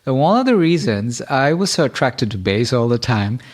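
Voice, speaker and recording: A man's voice: medium pitch, big pitch swings, moderate pace. A man hosting a conversational interview, chatty and friendly, drifting into rambling tangents. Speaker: podcast host.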